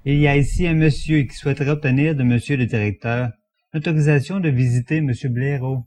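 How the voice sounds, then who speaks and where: An actor playing a formal, deferential prison attendant making announcements in a French audio drama. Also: male voice, medium pitch, fairly even intonation, a little fast, a little mellow, slight background noise, lightly acted.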